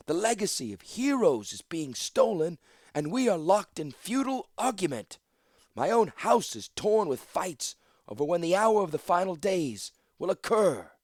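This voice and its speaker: High raised pitch, fierce female warlord man acting, declamatory, zealous, commanding, fantasy storybook. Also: sing-song, measured pace, no room sound, studio quality, exaggerated voice. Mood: impassioned, defiant. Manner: declamatory, forceful oratory.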